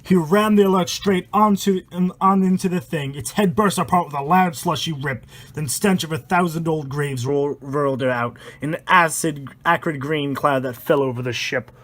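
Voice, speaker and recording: Guy w a high voice reading creepy rhymes out loud, dramatic, sweary, kinda stumbles on words. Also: normal speed, few pauses. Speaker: amateur storyteller and host. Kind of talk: reading a rhyming horror story aloud with casual asides.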